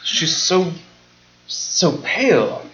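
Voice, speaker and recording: A man plays a worried young fiancé in a radio play. His fairly high voice swings widely as he hesitates, over dull, slightly noisy live sound. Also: very animated, very slow, sharp tone, soft background music, full character voice. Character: anxious, devoted young fiancé seeking a doctor's help.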